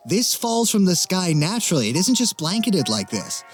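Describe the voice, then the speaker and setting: A young man speaks in a somewhat high register, in the relaxed style of an interview guest. He is articulate and wry, pausing as he thinks through his answers. Faint music plays behind him. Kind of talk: casual interview chat.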